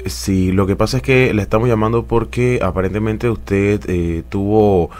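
A man's voice: fairly low pitch, fairly even intonation, normal speed, heavy background noise, natural voice. A man playing a polite, formal Panamanian bureaucrat with hesitant delivery in a radio prank call. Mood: officious, polite. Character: polite officious male public relations chief, prank caller.

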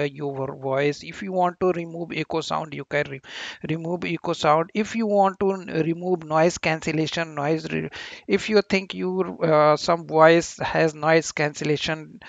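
Halting man narrating, higher voice, dull sound. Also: gravelly, fairly bright tone, no room sound. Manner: halting, with very frequent hesitations and repeated words. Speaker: tutorial host. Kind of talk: informal screen-recorded software tutorial narration.

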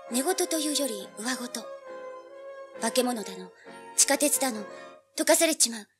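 High-pitched, brazen teenage girl played by a woman. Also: lively intonation, moderate pace, music in the background, lightly acted. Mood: recounting, uneasy. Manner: pert, blunt, streetwise. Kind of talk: dramatized audiobook acting.

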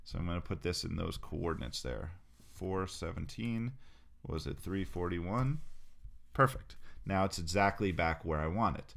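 A man with a low voice talks through a screen-recorded software tutorial. He narrates each step in a relaxed, casual way as he clicks along, with the odd filler. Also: normal speed, gravelly.

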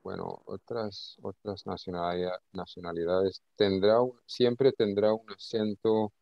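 A man teaching an informal online lesson, speaking haltingly and often repeating his words. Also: fairly low pitch, fairly even intonation, vocal fry, no room sound. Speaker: English teacher. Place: indoor video call. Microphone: video call. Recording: dull, lo-fi call audio.

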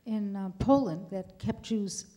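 A woman's voice: medium pitch, measured pace, mellow. A woman in the audience asking a panel a question, hesitant and rephrasing as she goes. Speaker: audience member.

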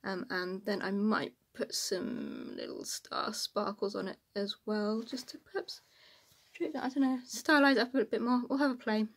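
A woman's voice: medium pitch, very animated, unhurried, creaky. A woman, chatting casually and informally to camera as a sewing vlogger in a small room at home.

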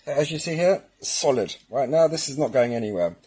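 A man's voice: mid-range voice, expressive, fairly quick, mellow, a little hiss or hum. A man presenting an installation tutorial in an informal, casual way, talking directly to the viewers. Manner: casual, addresses viewers as guys. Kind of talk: informal instructional demonstration.

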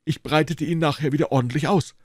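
A man's voice: a little high, quick pace, slightly rough, dry recording, studio quality, pitched above the performer's own voice. A man doing a flustered, apologetic stout constable. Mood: explaining. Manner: pleading, eager to excuse himself. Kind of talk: audiobook character voice.

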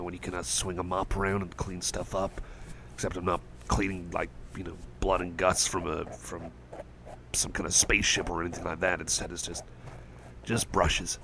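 A man speaking a gentle ASMR roleplay as a caring cyborg friend, rambling and stammering, reassuring and soft. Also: sits low, vocal fry, slight background noise.

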